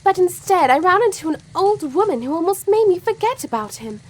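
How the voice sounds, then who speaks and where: A woman playing a gentle, polite young girl searching for her lost friend in an audio play. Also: very high-pitched, very animated, fairly quick. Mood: sad. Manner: earnest, polite.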